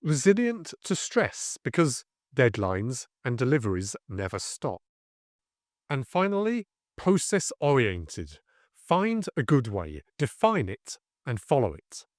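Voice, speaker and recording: A man giving a scripted educational presentation as a career coach, speaking in deliberate, emphatic short phrases and lists. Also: medium pitch, huge pitch swings, no echo, clean studio sound.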